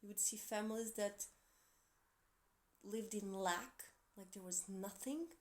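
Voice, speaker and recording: A woman with a Portuguese accent, English not her first language, reads tarot in an earnest, encouraging way. Her pitch sits in the ordinary middle for a woman, and she drops into soft, quiet asides now and then. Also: a little slow. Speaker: tarot reader. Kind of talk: tarot reading for a zodiac sign.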